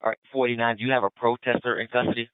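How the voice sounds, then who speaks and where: Male police dispatcher, brisk and procedural, clean-toned voice through a narrow, muffled two-way radio. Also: medium pitch, little pitch movement, clear, resonant tone, no echo. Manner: procedural, clipped call-and-response. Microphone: radio transmitter. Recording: muffled, band-limited police radio transmission.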